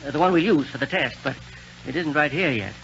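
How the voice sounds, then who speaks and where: An actor playing a genial, excitable elderly scientist and inventor in a 1930s radio serial, stammering and fussy as he talks. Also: fairly high pitch, a little fast, gravelly, faint music behind. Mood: proudly explaining. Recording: muffled, noisy 1930s radio recording.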